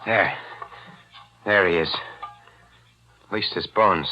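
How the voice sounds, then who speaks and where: An actor playing a greedy, hot-tempered, tough-talking killer in a 1940s radio drama. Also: male voice, sits low, takes it slowly. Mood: excited, gloating. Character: greedy hot-tempered killer named Danny, exultant over stolen diamond. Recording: muffled, noisy 1940s radio recording.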